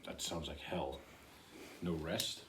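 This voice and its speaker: A man's voice: very deep voice, fairly even intonation, slow, background noise. A man playing in a tabletop game, speaking in a wry, teasing way. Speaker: player. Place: game store. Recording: distant mic, crowd chatter.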